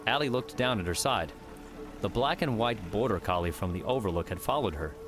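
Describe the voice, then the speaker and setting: A man's voice: lowish voice, expressive, fairly quick, music in the background. A man reading scripted fiction narration as an audiobook narrator.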